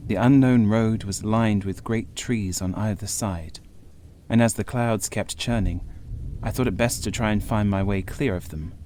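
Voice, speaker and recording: A male audiobook narrator with a fairly low voice reads first-person horror. His delivery is measured and literary. Soft storm ambience sits behind him. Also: slight background noise.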